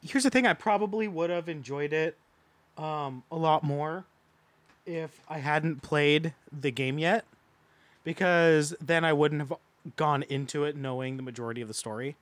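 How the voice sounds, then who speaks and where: A man's voice: a little high, normal speed, no room sound. A man giving his opinions as a podcast panelist in a casual, conversational review.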